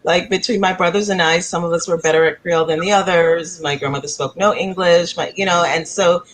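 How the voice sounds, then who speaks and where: A woman's voice: lowish voice, steady tone, a little fast, a little hiss or hum, poor audio. Thoughtful woman playwright on a video panel. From born in Africa, raised in the United States. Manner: thoughtful, searching, circles back to rephrase. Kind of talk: panel discussion over video call. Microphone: video call.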